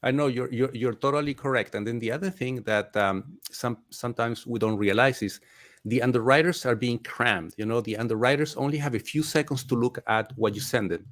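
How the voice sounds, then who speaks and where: A man's voice: medium pitch, fairly quick, a bit bright. A man from Chile, a mortgage expert talking casually as a guest, stressing points by repeating phrases. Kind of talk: casual conversation.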